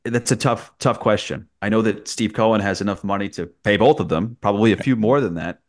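A man's voice: on the low side, fairly quick. A man who works as a sports broadcaster, giving conversational sports analysis as a podcast guest.

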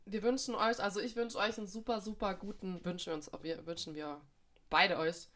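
A woman's voice: medium pitch, steady tone, a little fast, fairly bright tone. Chatty young woman podcast co-host. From Munich, Germany. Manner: chatty, many fillers and restarts, youthful slang. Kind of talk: casual chat.

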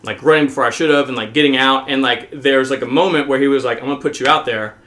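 An American man from the South talking casually and openly about himself, haltingly, with "like" as a constant filler. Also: mid-range voice, a bit bright. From southern United States. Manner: halting, heavy use of "like" as filler; open, self-revealing. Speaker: podcast guest.

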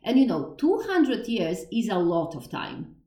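A woman's voice: medium pitch, sing-song, a little slow, mellow, roomy sound, distant mic. A woman with a thick Polish accent, speaking English as a second language, explains history informally, thinking aloud, restarting sentences and poking fun at herself. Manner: thinking aloud, self-deprecating, frequent laughs and restarts. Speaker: educator on Slavic history.

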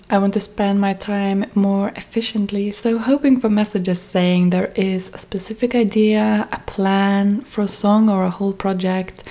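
Mellow-voiced woman from Norway, podcasting. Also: medium pitch. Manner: measured, earnest, listing items one by one. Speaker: podcast host; freelance cellist. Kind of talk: reflective solo podcast monologue.

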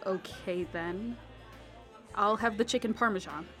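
A woman's voice: mid-range voice, measured pace, a bit bright, faint music behind, natural voice. A young woman acting in an audio drama as a skeptical, grieving daughter who mutters to herself in disbelief. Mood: uneasy.

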